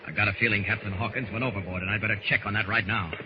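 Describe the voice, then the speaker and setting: An actor playing a confident, resolute superhero thinking aloud, in the manner of 1940s radio drama. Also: male voice, on the low side, fairly even intonation, racing, close to an everyday voice. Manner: declarative, resolute soliloquy. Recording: muffled noisy old radio recording.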